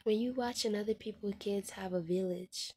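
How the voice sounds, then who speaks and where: A woman in her twenties speaking candidly to her viewers, frank and heartfelt, often dropping to a soft hushed voice and swearing bluntly. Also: medium pitch, normal speed. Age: 20s. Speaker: vlogger. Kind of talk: candid personal monologue to viewers.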